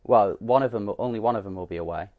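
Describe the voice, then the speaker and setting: A man's voice: fairly low pitch, fast, no room sound, narrow, tinny audio. A young British man co-hosting a casual football podcast, chatty and hesitant, with lots of ums and restarts. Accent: British English. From Britain. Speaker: podcast co-host.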